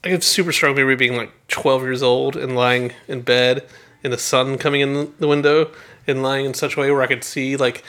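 A man's voice: medium pitch, fairly even intonation, a little fast, a bit bright. A man co-hosting a podcast in casual, dryly teasing banter. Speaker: podcast co-host. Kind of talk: casual comedic chat.

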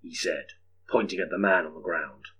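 A man's voice: sits low, steady tone, moderate pace, pushed and bright, breathy edge, low-quality recording. A man narrating an audiobook story in a dramatic, scripted storytelling style.

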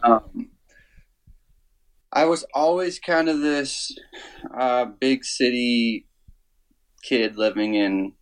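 A man in his twenties from Canada, a musician guest talking casually in an interview, low-key and hesitant, with many fillers and restarts. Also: drawn-out words, pauses often, poor audio. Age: 20s.

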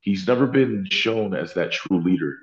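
Man, deep baritone, earnest guest, roomy slightly muffled recording. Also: animated, normal speed. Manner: earnest, thoughtful, self-correcting. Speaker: actor, interview guest. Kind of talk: casual interview chat. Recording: roomy, slightly dull sound.

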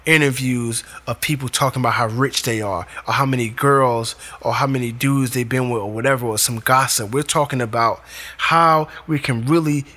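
A man with a raspy voice hosting his own show, delivering an emphatic motivational monologue in short punchy commands. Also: medium pitch, fairly even intonation, moderate pace, pushed and bright. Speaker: podcast host.

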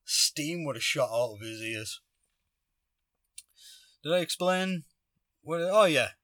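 Bright-voiced man telling stories casually. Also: fairly high pitch, slow, no room sound, studio quality. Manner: animated, acts out shouted lines; chuckles while talking. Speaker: podcast host. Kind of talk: casual spoken personal storytelling.

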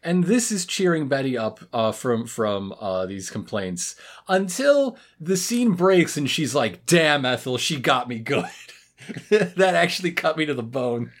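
A woman's voice: very deep voice, big pitch swings, measured pace. A woman co-hosting a podcast, chatting casually through a comedic episode recap with a wry, sweary, riffing manner. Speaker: podcast co-host.

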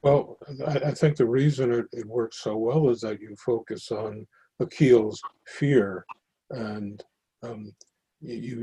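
A man's voice: medium pitch, dark tone, slightly rough, low-quality recording. A man, a playwright, giving reflective feedback with a hesitant manner and stammered repetitions. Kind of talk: reflective discussion.

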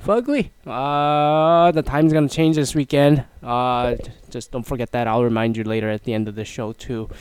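A man's voice: mid-range voice, steady tone, normal speed, hardly any pauses, a little mellow, clean tone, no echo. A man hosting a casual solo talk show, rambling and self-mocking, with a nasal, stuffy voice and a throat that is half gone. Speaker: podcast host.

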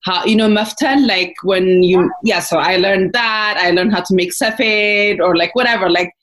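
A woman's voice: mid-range voice, normal speed, fairly bright tone. A young adult woman from Ethiopia, a company founder, talking casually as an interview guest, thoughtful and full of fillers and restarts.

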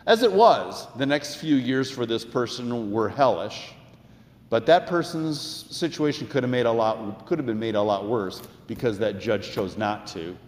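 A man's voice: medium pitch, lively intonation, normal speed. Older American male pastor, conversational and reflective preaching, mid-range voice, reverberant church. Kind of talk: sermon with personal anecdotes. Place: large indoor church. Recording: echoey hall with long reverb.

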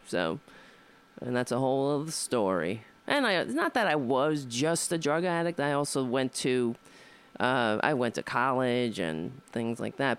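Comedian host, woman, 50s, rambling and wry, lively swooping pitch, swears. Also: smooth. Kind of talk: casual monologue.

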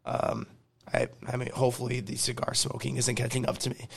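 Hoarse man hosting a podcast. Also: little pitch movement, brisk. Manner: upbeat salesy pitch, repeats promo details. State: hoarse, losing his voice. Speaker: podcast host. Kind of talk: podcast intro and ad reads.

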